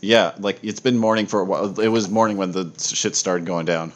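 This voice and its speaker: A man's voice: deep voice, fairly even intonation, fairly quick. A man acting as game master on a voice call, narrating a tabletop game in casual talk peppered with swearing. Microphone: online voice call. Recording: noisy home voice-chat recording.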